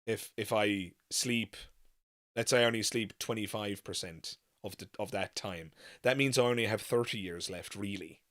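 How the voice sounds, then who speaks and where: Male livestreamer, 30s: lowish, bright, forward voice, rambling and reflective, casual chat with emphatic repeats. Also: moderate pace. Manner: musing, rambling, emphatic repetition. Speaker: livestream podcast host.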